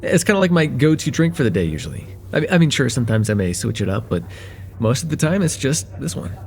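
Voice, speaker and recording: Male actor as friendly young cafe regular, lively intonation, creaky edge, hesitant casual chat, slice-of-life audio roleplay. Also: medium pitch, quick pace. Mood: friendly, curious. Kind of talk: casual conversational ASMR roleplay.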